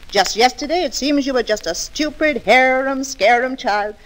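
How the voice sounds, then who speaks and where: A woman plays a scolding, plain-spoken housekeeper in an old-time radio play. Her voice is fairly high. She chides with theatrical, familiar bluntness. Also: normal speed, close to an everyday voice, low-quality recording. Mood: chiding. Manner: chiding, theatrical. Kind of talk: 1940s radio drama acting.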